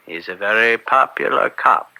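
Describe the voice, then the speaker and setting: Forceful, skeptical political fixer. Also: male voice, medium pitch, lively intonation, normal speed, gravelly, exaggerated voice, rough recording. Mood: probing. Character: cautious, skeptical male senator, worldly political fixer. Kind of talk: radio drama acting. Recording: bright old-time radio recording.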